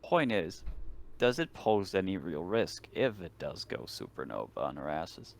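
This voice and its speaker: A man's voice: low voice, big pitch swings, measured pace, gravelly. A man playing a tabletop game, musing aloud in casual, colloquial and sometimes sweary talk.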